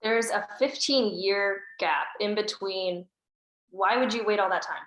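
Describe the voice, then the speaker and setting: A woman's voice: medium pitch, a little slow, fairly bright tone, echoey room, natural voice, rough recording. A woman reading a curt, bitter teen daughter. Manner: curt, cutting. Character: bitter, guarded teenage daughter. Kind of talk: table read.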